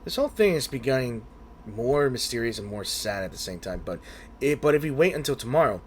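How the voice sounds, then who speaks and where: A man giving casual playthrough commentary as a gaming video host, haltingly thinking aloud. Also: medium pitch, sing-song, slight background noise.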